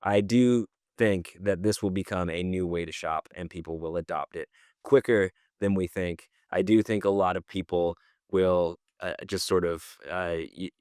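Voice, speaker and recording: A man's voice: sits low, steady tone, normal speed, a little mellow, clear, resonant tone, dry recording, clean studio sound. A man co-hosting a podcast in casual conversation, giving slangy opinions and thinking aloud. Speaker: podcast co-host.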